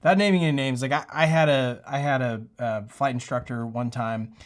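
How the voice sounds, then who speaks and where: A man's voice: mid-range voice, brisk. A man speaking casually and earnestly, like an instructor hosting a tutorial, with a reassuring, encouraging manner. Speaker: pilot and aviation tutorial host. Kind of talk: casual, encouraging advice talk to camera.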